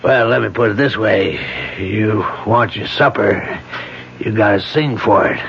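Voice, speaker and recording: A gravelly, leering bully of a man. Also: on the low side. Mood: pushy. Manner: folksy, pushy, colloquial drawn-out talk. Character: leering, bullying male motorist with menacing temper. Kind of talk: radio drama acting. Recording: dull noisy vintage radio recording.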